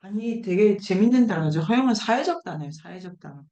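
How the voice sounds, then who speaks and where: A woman's voice: mid-range voice, expressive, fast talker, dark tone, roomy sound, highs cut off. A woman lecturing informally to a seminar group, thinking aloud, posing questions and repeating key words for emphasis. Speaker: lecturer leading an online book seminar.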